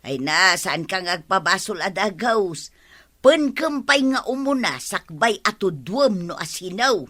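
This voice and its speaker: A woman's voice: mid-range voice, big pitch swings, unhurried, fairly bright tone. An actress playing a fed-up village wife who scolds her hot-tempered husband, in a radio comedy drama. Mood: exasperated. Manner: scolding, exasperated.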